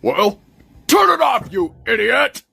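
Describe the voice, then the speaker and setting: A man's voice: extremely high pitch, big pitch swings, slow, creaky, bright, forceful tone, breathy edge, heavily acted. A man voicing a pompous, scheming alien tycoon villain with an oratorical, condescending delivery. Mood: angry.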